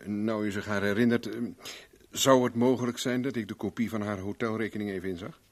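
An actor playing a smooth, affable private investigator with a casual, politely probing manner in a 1970s Dutch radio play. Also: male voice, on the low side, steady tone, fairly quick.

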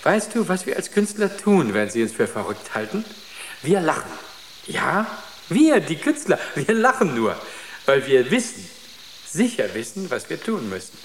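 An actor playing a serenely self-assured, fanatical artist in a radio drama, declaiming loftily and deliberately. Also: male voice, fairly high pitch, very animated, slight background noise. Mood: defiant pride.

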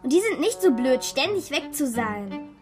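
High-voiced, stubborn young girl. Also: very animated, moderate pace, over music. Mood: angry, reproachful. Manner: direct, reproachful, precociously argumentative. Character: hurt, cheeky, stubborn nine-year-old girl. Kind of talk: children's radio drama acting.

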